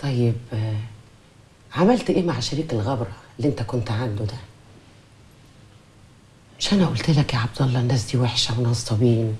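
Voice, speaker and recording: A woman's voice: rumbling low, quick pace, pauses often, darkish tone. An actress playing a devoted, fussing and outspoken woman with an Egyptian accent in a TV drama. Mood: worried, scolding. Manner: coaxing, nagging, emphatic. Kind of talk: TV drama acting.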